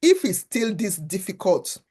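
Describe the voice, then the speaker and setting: A woman's voice: mid-range voice, sing-song, a little slow, darkish tone, slightly rough. A woman originally from Africa, a guest in an interview, speaking earnestly and often repeating words while she finds them. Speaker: guest, foundation founder. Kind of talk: conversational interview.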